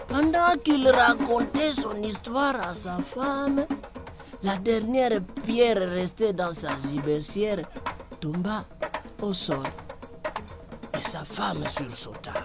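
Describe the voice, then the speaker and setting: A woman narrating a folk tale for a cartoon in a slow, deliberate storytelling voice, ending on a solemn moral, over loud music. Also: medium pitch.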